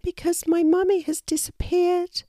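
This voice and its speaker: A woman's voice: extremely high pitch, fairly even intonation, measured pace, darkish tone, no room sound, studio quality, voice pushed up. A woman voicing a tiny, plaintive young female wallaby in a children's storybook, pleading like a lost child. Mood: sad, pleading.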